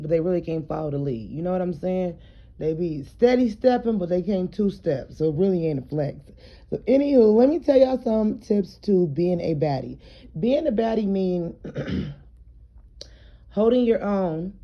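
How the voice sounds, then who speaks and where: A woman with a raspy, slightly hoarse voice, chatting casually to her followers in a home vlog while she does her hair, loose and streetwise with plenty of fillers. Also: on the low side, normal speed, lo-fi sound. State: hoarse, throat congested. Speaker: vlogger.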